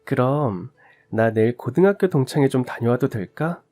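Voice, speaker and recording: A man voicing a young wife and mother who talks softly and reasons gently. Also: medium pitch, lively intonation, normal speed, soft background music, lightly acted. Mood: persuasive.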